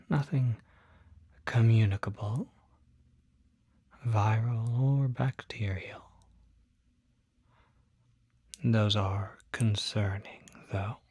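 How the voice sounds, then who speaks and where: A calm demon doctor acted out by an ASMR performer speaks very slowly in a soft, dark-toned murmur that often slips into a whisper. The questions are gentle and deliberate, with pauses between phrases, in a close, dry ASMR roleplay. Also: medium pitch, breathy edge, no room sound. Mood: gently reassuring. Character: calm, attentive demon doctor treating a patient.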